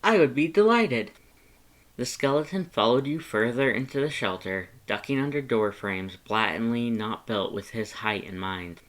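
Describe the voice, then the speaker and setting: A man's voice: lowish voice, moderate pace, hardly any pauses, fairly bright tone. A man reading scripted second-person fan fiction as a storyteller.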